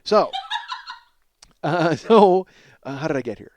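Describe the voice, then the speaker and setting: A middle-aged man hosting a comedy podcast with a rambling, excitable monologue, self-mocking and profane, often stammering over repeated words. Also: fairly high pitch, slow pace, a little hiss or hum.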